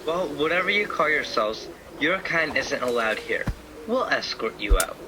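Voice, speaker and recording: A man's voice: fairly high pitch, very animated, normal speed, over music. A man playing an earnest, loyal guardian angel, conversational in delivery, in a radio play. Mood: firm. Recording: noisy home recording with ambience.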